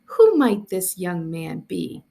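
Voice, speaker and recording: Storybook mayor, played by a woman reader: pompous, stern, formal man with a very creaky voice. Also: fairly low pitch, big pitch swings, slow, warm tone, exaggerated voice. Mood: coldly formal. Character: stern, pompous male mayor, easily affronted. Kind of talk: storybook dialogue read aloud.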